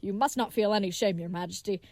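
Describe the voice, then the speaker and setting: A woman's voice: mid-range voice, fast, crowd noise, natural voice. A woman playing a tall, earnest, dim-witted knight in a comedic fantasy audio drama, rambling with naive, formal courtesy.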